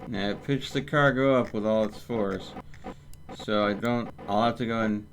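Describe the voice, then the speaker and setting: A man's voice: fairly low pitch, steady tone, a little slow, soft background music, low-quality recording. A man giving casual live game commentary as a streamer, thinking aloud and narrating his own steps in short fragments. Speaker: gaming streamer. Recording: clear background noise under the voice.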